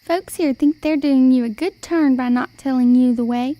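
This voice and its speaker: A woman's voice: high-pitched, normal speed, mellow, dry recording, natural voice. A young woman playing a sly, folksy old travelling storyteller in an amateur radio play. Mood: knowing. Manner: folksy, old-fashioned phrasing.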